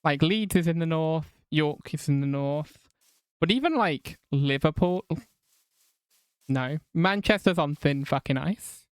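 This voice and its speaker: English woman streaming a game, half southern half northern accent, chatty and a bit sweary, clean smooth voice, dry. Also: low-pitched, a little slow, no room sound. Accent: mixed southern and northern English. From England. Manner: chatty, swears casually, reads chat aloud. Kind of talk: casual live game stream chat.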